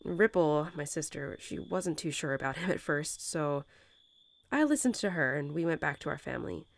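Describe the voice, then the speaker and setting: A woman's voice: low voice, lively intonation, vocal fry. A woman playing a young mother wolf who talks tenderly and without hurry to her newborn pups, in the style of a bedtime roleplay audio. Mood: fond, reminiscing. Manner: tender, unhurried, softly chuckling, hesitant informal phrasing. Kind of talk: soothing bedtime roleplay audio, intimate storytelling.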